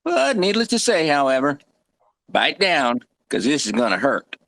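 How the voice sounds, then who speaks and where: A man playing a folksy, wry frontier doctor and former army medic, gravelly and drawling, in a table read of a western. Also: fairly high pitch, wildly swooping pitch, moderate pace, fairly bright tone, heavily acted. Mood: wry, matter-of-fact.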